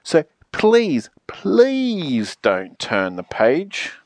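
A woman voicing an exasperated, pleading character in a children's picture book, theatrical and emphatic with drawn-out pleas. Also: on the low side, very animated, no room sound. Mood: panicked.

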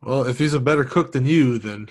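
A man's voice: mid-range voice, a little fast. Laid-back man who laughs a lot. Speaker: podcast co-host. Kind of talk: casual chat.

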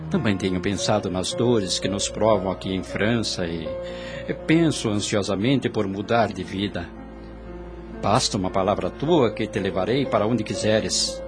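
An actor playing a devoted, courtly gentleman in a radio soap opera, with formal, theatrical delivery. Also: on the low side, close to an everyday voice. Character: devoted gentleman suitor, earnest, protective and courtly. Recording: radio drama with prominent music. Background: clear background music.

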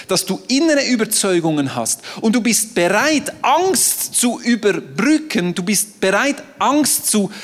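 A man whose first language is French, preaching a sermon on a stage in a playful, animated way. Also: high-pitched. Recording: reverberant hall.

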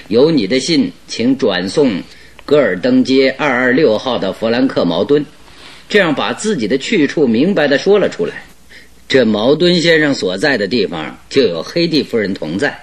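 A man acting a shrewd, jovial, self-assured English detective in a Mandarin radio drama, explaining his deductions expansively with a slightly husky voice. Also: medium pitch. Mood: amused, teasing.

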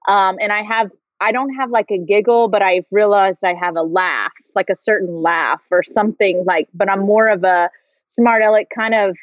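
A woman's voice: mid-range voice, normal speed, no echo, dull audio. A woman hosting a casual interview, chatty and self-deprecating. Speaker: host.

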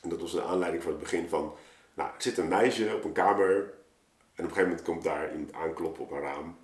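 A man talking casually and reflectively as a guest storyteller, halting and thinking aloud, recorded in an echoey room. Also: deep voice. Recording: roomy, echoing space.

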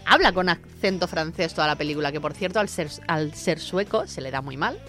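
Lively woman chatting about films. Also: low voice, fairly quick, faint music behind. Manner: animated, laughs often, rambling retellings. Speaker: podcast co-host. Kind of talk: casual film chat.

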